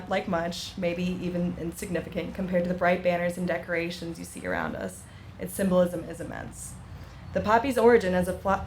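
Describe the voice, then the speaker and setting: A woman delivering a prepared ceremonial reading in a formal, measured way, speaking outdoors. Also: fairly low pitch, a little mellow, poor audio. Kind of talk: prepared speech and poem recitation. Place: outdoor veterans' memorial ceremony. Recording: outdoor ceremony, background noise.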